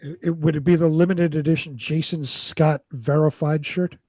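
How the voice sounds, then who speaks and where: Higher-pitched man in casual chat. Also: fairly even intonation, normal speed, mellow, no room sound, studio quality. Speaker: show co-host.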